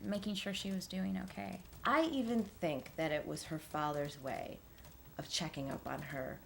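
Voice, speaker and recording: A woman's voice: deep voice, fairly even intonation, moderate pace, gravelly. A woman playing an earnest witness in an audio drama, speaking hesitantly and conversationally as she recounts events to an investigator. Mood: matter-of-fact. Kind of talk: audio drama interview testimony. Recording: faint background noise.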